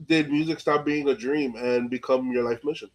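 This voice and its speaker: Male, podcast host, informal interview style, slangy, conversational filler words, mid-pitched voice. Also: steady tone, normal speed, rough recording. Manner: informal, peppered with "like" and "you know".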